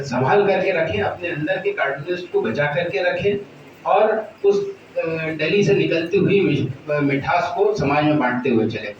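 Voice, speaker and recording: A man with a fairly high voice gives a formal, halting speech of congratulations. The sound is muffled and noisy, as if he stands well away from the mic. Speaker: speaker at a workshop opening. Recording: very echoey hall, distant, muffled, noisy.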